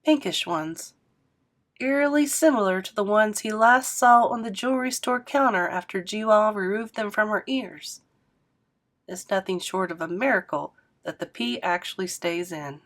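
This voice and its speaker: A woman reads a children's novel aloud in a steady, expressive storyteller's voice. Her pitch sits right in the middle for a woman, and she narrates evenly, line by line. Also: normal speed. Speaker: read-aloud host.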